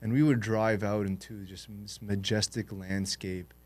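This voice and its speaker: A young man born in Lebanon and raised in Canada, telling his life story in a relaxed, reflective way as a podcast guest. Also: on the low side, unhurried. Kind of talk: interview storytelling.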